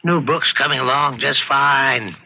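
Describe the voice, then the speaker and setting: Sharp, bright, pedantic older husband. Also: male voice, mid-range voice, measured pace, vocal fry, full character voice. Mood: enthusiastic. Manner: rambling, pedantic, eager. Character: chatty, oblivious bookish husband full of historical trivia. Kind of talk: old-time radio drama acting. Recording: muffled old radio, faint noise.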